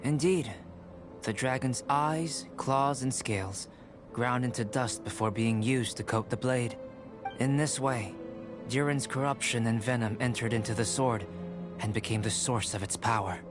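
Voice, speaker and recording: A man voicing a calm, scholarly young male alchemist in a video game, giving measured, polite explanations over game music. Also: medium pitch, lightly acted. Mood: composed.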